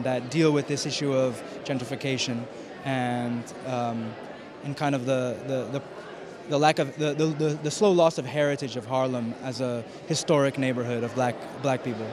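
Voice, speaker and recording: Man interviewed amid crowd bustle, halting delivery. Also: medium pitch, steady tone. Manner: halting, searching for words. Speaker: film director and writer. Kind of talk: red carpet interview. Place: film festival red carpet. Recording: busy crowd and urban noise behind. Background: crowd chatter.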